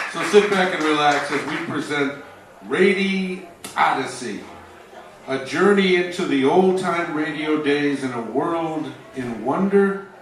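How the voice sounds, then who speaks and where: A man's voice: fairly high pitch, noisy background. Echoey theatrical male radio-show announcer. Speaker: host and announcer of a live show. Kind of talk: theatrical old-time radio announcing and scripted narration. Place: live stage show, picked up from afar. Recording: echoey stage, distant mic, music behind.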